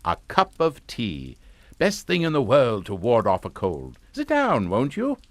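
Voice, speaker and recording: A man's voice: mid-range voice, huge pitch swings, measured pace, no echo. An actor playing a genteel, middle-aged family man in a suspense drama, pompous and formal with a falsely jovial manner. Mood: smoothly genial. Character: genteel English family patriarch, smoothly hypocritical and unflappable. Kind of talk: radio suspense drama acting.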